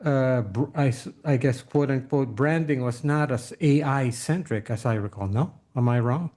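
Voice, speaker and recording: A man's voice: mid-range voice, moderate pace, darkish tone. A man hosting a conversational interview, probing and checking his understanding with repeated questions. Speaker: podcast host, interviewer.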